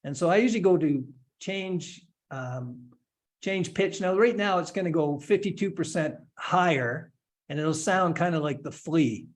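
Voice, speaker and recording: A man in his forties giving a relaxed, step-by-step software tutorial with light humor. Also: on the high side, measured pace. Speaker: instructor giving a software demo. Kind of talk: screen-share tutorial, casual explanation.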